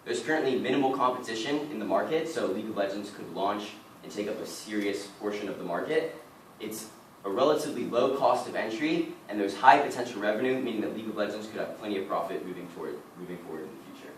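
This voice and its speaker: Teen boy presenter, lowish voice, steady and measured, recorded far off in a reverberant room. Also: slight background noise. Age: teens. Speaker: student presenter. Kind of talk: rehearsed presentation. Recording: roomy echo from far off.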